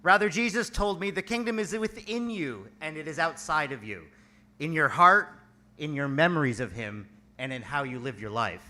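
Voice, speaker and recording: Man playing Thomas, sharp, forceful, fervent disciple. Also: a little high, moderate pace. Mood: exasperated, fervent. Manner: emphatic, declamatory. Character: Thomas, exasperated, fervent disciple quoting scripture. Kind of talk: dramatic reading for a church play.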